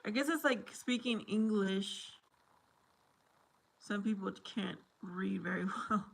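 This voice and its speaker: A woman's voice: mid-range voice, takes it slowly, gravelly, rough recording. A woman chatting casually with viewers on a livestream, slipping Spanish phrases in between English. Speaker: livestream host.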